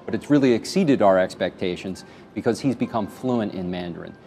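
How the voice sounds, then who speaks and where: A man's voice: fairly low pitch, expressive, fairly quick, creaky, dark tone, faint music behind. A man talking as a parent in an interview, earnest, with small restarts.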